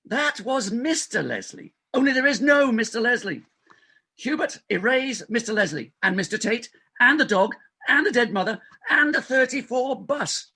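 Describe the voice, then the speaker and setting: A man's voice: very high-pitched, lively intonation, normal speed, pushed and bright, slightly rough, heavily acted. A man playing a desperate, insistent married doctor who cajoles a colleague in a stage farce. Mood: coaxing. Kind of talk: stage farce readers theater acting.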